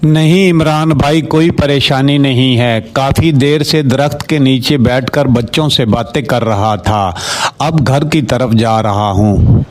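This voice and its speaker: A man's voice: medium pitch, a little slow, a little hiss or hum, lightly acted. A man voicing a poor, patient, devout village father in a cartoon story. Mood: patient, resigned.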